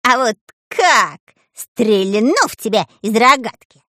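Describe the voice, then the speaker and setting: A woman voicing an excitable, cheeky little male spy with shrill, exclamatory speech in a children's storybook. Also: unhurried, dry recording, clean studio sound. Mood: cheeky defiance.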